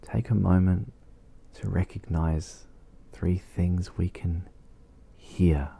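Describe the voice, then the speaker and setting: A man leading an ASMR guided meditation in a soft, soothing, breathy voice with long pauses. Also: sits low, steady tone.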